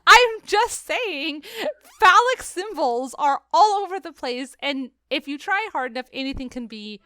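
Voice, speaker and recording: A woman hosting a podcast, talking casually about books and films in an animated, emphatic, argumentative way. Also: sits high, a little slow, no echo. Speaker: podcast host. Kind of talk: casual book and film discussion.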